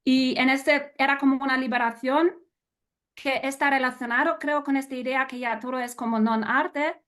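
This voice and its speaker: A woman's voice: sits high, fairly even intonation, normal speed, fairly bright tone. A woman whose first language is not Spanish asks a long, hesitant question in a discussion, searching for words and slipping into English. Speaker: audience member asking a question.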